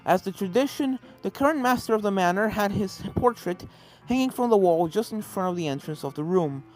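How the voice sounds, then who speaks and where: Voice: medium pitch, animated, brisk, darkish tone, poor audio. A storyteller reading scripted fiction aloud as a narrator, slipping into character voices for the dialogue. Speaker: fan fiction reader, narrator. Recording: game music under the voice. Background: video game soundtrack music.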